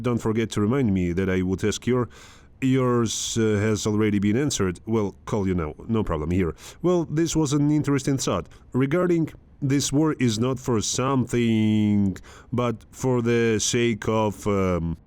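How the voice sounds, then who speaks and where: A man's voice: on the low side, lively intonation, a little slow, darkish tone, clean tone, no room sound. A man hosting an interview, pressing his guest with probing, challenging questions. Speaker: interviewer, host.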